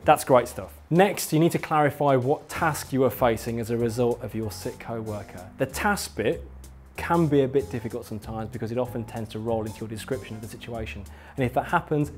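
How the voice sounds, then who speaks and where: A man narrates an instructional film in a medium-pitched voice. He explains each point with clear emphasis and encouragement, speaking straight to the learner. Faint music plays behind him. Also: a little mellow. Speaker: instructor, presenter. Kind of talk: scripted instructional narration addressed to viewer.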